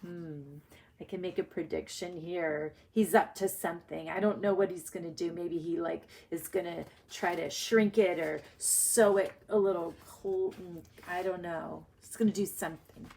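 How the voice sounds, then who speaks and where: A woman teacher reading aloud to young children and explaining her thinking in a deliberate way. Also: fairly low pitch, fairly even intonation, gravelly, darkish tone, breathy edge. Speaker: primary school reading teacher.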